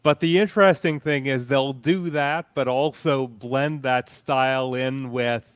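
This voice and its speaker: A man speaking as a panelist, explaining things in a measured, thoughtful, casual way. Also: mid-range voice, fairly even intonation, clear, resonant tone, no echo. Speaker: convention panelist.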